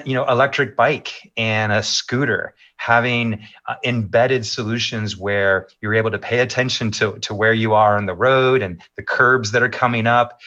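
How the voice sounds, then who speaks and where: A middle-aged man answering interview questions as a maps executive, in measured, polished talking points with occasional fillers. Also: on the low side, bright, forceful tone, dull audio.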